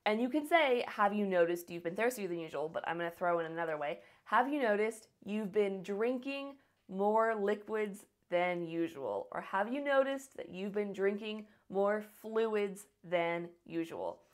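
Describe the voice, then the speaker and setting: A woman in her thirties from the United States, a nurse practitioner teaching medical English phrases in a scripted online lesson, saying each model sentence slowly and deliberately. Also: mid-range voice, lively intonation. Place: small home office with a green wall.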